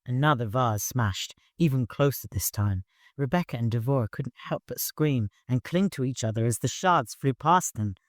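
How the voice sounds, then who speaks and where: A man's voice: medium pitch, animated, moderate pace, no room sound. Male narrator, mid-range voice, dramatic scripted storytelling, dry studio-like sound. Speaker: audiobook narrator.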